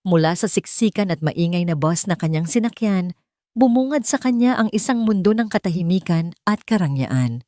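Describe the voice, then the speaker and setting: A woman's voice: lowish voice, animated, moderate pace, mellow, no room sound, studio quality. A woman with a Filipino accent reading a scripted dramatic story as an audiobook narrator.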